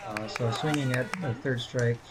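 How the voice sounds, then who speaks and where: A man who is a native English speaker, commentating an amateur baseball game in a relaxed, rambling way with many fillers, speaking as a coach. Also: medium pitch, moderate pace, rough recording. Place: ballpark. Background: crowd.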